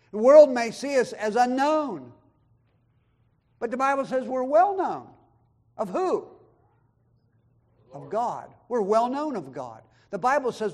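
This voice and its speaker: A man preaching a sermon, quoting scripture and swinging between loud emphatic lines and quiet low asides. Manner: quotes scripture and acts out voices of others in the talk. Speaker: pastor.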